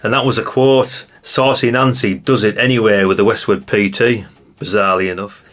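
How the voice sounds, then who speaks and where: A man's voice: lowish voice, fairly even intonation, moderate pace, fairly bright tone. A British man giving a casual hands-on walkthrough as a presenter, chatty and rambling, often tagging questions onto his remarks. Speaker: presenter of a vintage radio channel.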